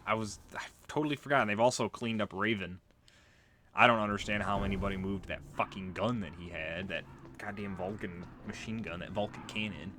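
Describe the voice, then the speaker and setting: A man's voice: fairly low pitch, steady tone, brisk, background noise. A man giving casual, offhand and sweary commentary as he plays through a video game. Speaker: let's player. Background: loud video game music.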